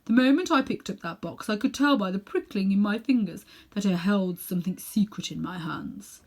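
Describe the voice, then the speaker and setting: Woman acting middle-aged gentleman magician, pompous, condescending, sinister, self-important, drawn-out phrasing, storybook villain. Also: medium pitch, expressive. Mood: confiding. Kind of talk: children's storybook character reading.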